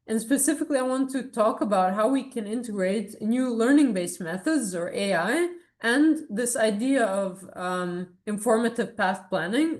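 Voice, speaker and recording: A woman's voice: mid-range voice, a little mellow. A woman in her thirties, born in Serbia and raised in Abu Dhabi, gives a research presentation to colleagues in an informal, explanatory way with frequent hesitations. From born in Serbia, grew up in Abu Dhabi. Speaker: academic researcher giving a talk.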